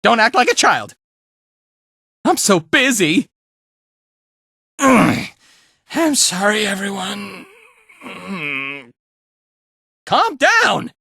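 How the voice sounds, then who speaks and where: Chill flippant video game fighter dude, bright voice, slow drawl, kinda world-weary and teasing. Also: male voice, high voice, big pitch swings, creaky, slightly rough, dry recording, clean studio sound, heavily acted. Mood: weary, mild. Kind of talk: video game character voice lines, short barks.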